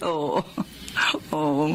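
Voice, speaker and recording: An actress playing a warm middle-aged mother-in-law in a Filipino radio drama, expressive and earnest, with drawn-out phrasing. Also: low voice, slight background noise.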